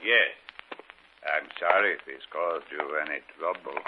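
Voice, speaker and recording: An actor playing a mysterious, courteous dog owner who speaks deliberately, in 1950s radio drama style. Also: male voice, extremely low pitch. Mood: politely apologetic. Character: mysterious strange dog owner, polite and unhurried. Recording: muffled, crackly 1950s radio recording.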